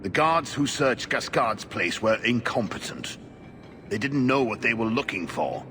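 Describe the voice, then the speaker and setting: A man acting a weary, stubborn older investigator in a video game, speaking in an earnest, formal, measured way. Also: medium pitch, expressive, noisy background, close to an everyday voice. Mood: frustrated.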